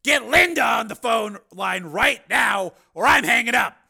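Man acting out a loud, defiant renegade lieutenant. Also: high-pitched, sing-song, normal speed, gravelly, slightly rough, voice pushed up, full character voice. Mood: angry, demanding. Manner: forceful, clipped, demanding. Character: defiant male cyborg police lieutenant on the run, commanding, angry.

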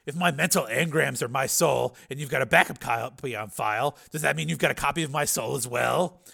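Man acting a gravelly, forceful, bitter cyborg. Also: mid-range voice, fairly quick, voice pushed up, heavily acted. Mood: probing, sharp. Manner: forceful, challenging, pressing with questions. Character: hard, bitter cyborg man demanding answers.

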